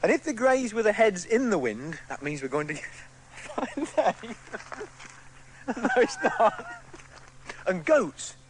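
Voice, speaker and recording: A man's voice: high voice, sing-song, slow pace, pauses often, slight background noise. A man trying to deliver a spoken piece, giggly and struggling to keep his composure. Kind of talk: recorded piece about goats.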